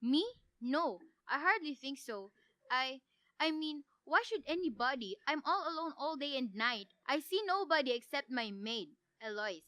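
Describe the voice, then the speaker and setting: A woman's voice: sits high, animated, moderate pace, pushed and bright, no room sound. A teenage girl acting in a radio play as an anxious, demanding bedridden wife, fretful and insistent, stammering when pressed. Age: teens, ninth-grade student.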